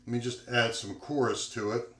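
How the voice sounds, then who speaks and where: A man reviewing an acoustic guitar in a relaxed, rambling way, with lots of fillers and a loud voice. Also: medium pitch, normal speed. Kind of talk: casual product review with playing. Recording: echoey room, guitar playing behind.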